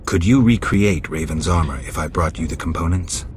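A man acting a stoic, battle-weary monster hunter in a video game, speaking in a terse, deadpan way. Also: sits low, moderate pace, slight background noise, lightly acted. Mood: blunt, businesslike.

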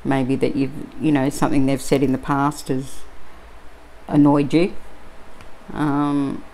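A middle-aged Australian woman chatting casually and musing aloud, with many pauses and ums, while she crafts at a table indoors. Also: very deep voice, level tone, mellow, slight background noise, rough recording. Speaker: crafting vlogger.

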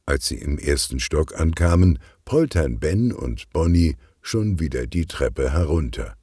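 A man's voice: rumbling low, animated, a little slow, warm tone, husky, no echo, clean studio sound. A man narrating a children's detective story as a scripted audiobook reader.

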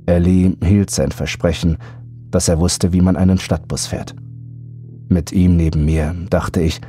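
A man's voice: sits low, mellow. A man reading a scripted first-person horror story as an audiobook narrator. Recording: background music under voice.

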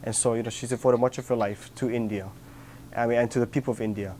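A young man from Kauai, Hawaii, speaking earnestly and sincerely in an interview, pausing often with fillers and restarts. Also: medium pitch, steady tone, mellow, a little hiss or hum. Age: young adult. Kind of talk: reflective personal interview. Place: outdoor patio with garden.

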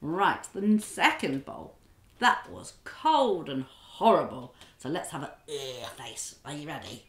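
A middle-aged woman leading a children's drama class and telling a story in an animated, expressive, encouraging way. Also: low-pitched, a little slow, echoey room.

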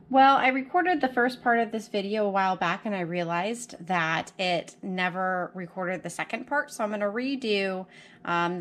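A woman narrates her cleaning routine in a home kitchen, practical and unhurried, with the odd "um" as she works. Her voice sits at an ordinary middle pitch. Loud running water from the sink fills the background. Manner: plain, practical, talks while working with fillers. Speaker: homesteader showing her routine. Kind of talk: casual how-to narration. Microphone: camera mounted above the sink. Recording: running water noise behind.

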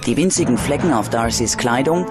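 A man reading scripted true-crime documentary narration in a measured, dramatic storytelling manner. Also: medium pitch, animated. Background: music bed.

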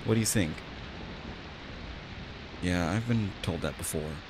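A man acting out an ASMR roleplay as a friendly, chatty barista, talking in a gently reassuring way. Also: low-pitched, measured pace. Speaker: voice actor in an audio roleplay. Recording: steady rain and hiss behind voice. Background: rain.

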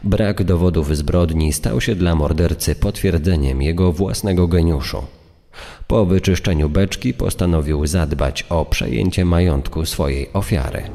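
Very deep, dark male narrator. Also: a little slow, vocal fry. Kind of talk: scripted true-crime storytelling. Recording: long reverb on the voice.

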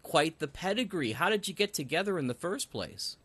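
Bright, lively male podcast host. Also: on the high side, normal speed, breathy edge. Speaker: interview host. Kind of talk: podcast interview hosting.

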